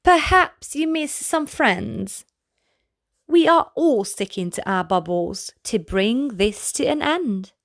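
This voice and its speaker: A woman narrates a children's read-aloud in a fairly high voice. Her delivery is very slow and deliberate, gently pitched at little listeners. The sound is dry, with hardly any room echo. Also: lively intonation. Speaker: children's storybook reader, channel host.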